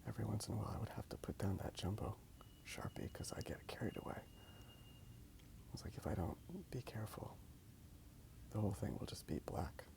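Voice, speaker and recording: Whispering ASMR man with gravelly creak. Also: fairly low pitch, brisk, long pauses. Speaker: ASMR video creator. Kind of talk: ASMR, casual soft rambling. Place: outdoors in a park.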